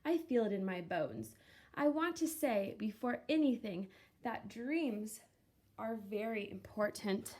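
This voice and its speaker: A woman reading a children's book aloud expressively, with theatrical, deliberate phrasing and drawn-out words. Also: on the high side, animated, a little mellow. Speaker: reader of a children's picture book, teacher. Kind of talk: expressive storybook read-aloud.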